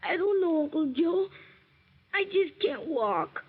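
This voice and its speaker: An actress playing a frightened, sick little boy in a 1940s radio drama, speaking slowly and plaintively. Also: sing-song, exaggerated voice. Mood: tearful. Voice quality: high, small childlike voice. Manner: slow, halting, plaintive. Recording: tinny old radio recording.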